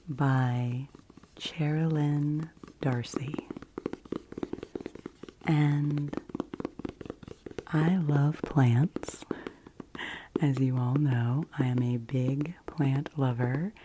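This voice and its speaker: Whispering woman, very slow ASMR delivery. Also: extremely low pitch, background noise. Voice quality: breathy unvoiced whisper. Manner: gentle, slow, unhurried. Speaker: ASMR video host. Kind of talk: ASMR, whispered casual explanation.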